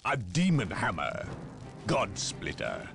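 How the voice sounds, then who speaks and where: An actor playing a stern inquisitor who speaks in a measured, commanding way in a game cutscene. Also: male voice, lowish voice, huge pitch swings, creaky, exaggerated voice. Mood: grave. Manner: solemn, measured, authoritative. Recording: game audio with music behind.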